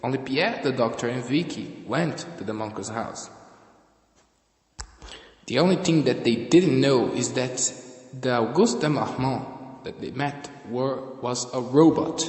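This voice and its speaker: A man reading scripted story narration in a halting way, stumbling over some words. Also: medium pitch. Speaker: narrator and reader of a fan audio drama. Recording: echoey room with long reverb.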